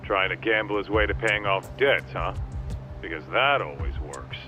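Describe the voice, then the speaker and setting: An actor playing a brash, gruff street-tough sidekick to a detective in a video game dub, blunt, informal and impatient. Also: male voice, deep voice, lively intonation, normal speed, bright, forceful tone. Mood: focused, wry. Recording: game audio with music underneath. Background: background music.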